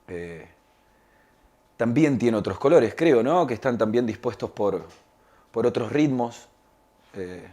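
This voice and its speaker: Male interviewee, dark relaxed tone, thoughtful and hesitant explanatory delivery. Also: on the low side. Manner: hesitant, searching for words, tag questions. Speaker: radio drama maker being interviewed. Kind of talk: reflective explanatory talk.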